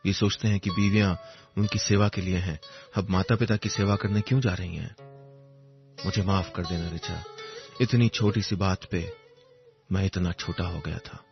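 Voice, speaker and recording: A man with a fairly low voice tells a dramatic first-person story. He is wistful and reflective, pausing between poetic phrases. The recording is a little dull, with music underneath.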